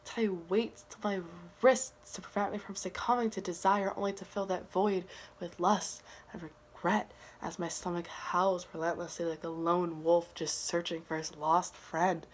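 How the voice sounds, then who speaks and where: Woman reciting slam poetry, dramatic and earnest. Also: on the low side. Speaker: slam poet.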